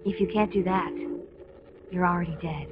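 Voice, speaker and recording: A woman playing a calm, solemn young soldier with foresight in a video game drama. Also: on the low side, normal speed, background noise, lightly acted. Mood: grave. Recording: muffled game audio.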